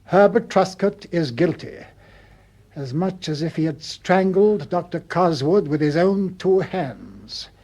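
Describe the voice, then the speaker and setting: Stiff older military man, played by a male actor, higher-voiced, slow and fixated, radio drama moralizer. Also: expressive, mellow. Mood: grave, accusing. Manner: slow, repetitive, solemn pronouncements. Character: stiff, obsessive older colonel, drugged and moralizing. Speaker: actor in a detective audio drama.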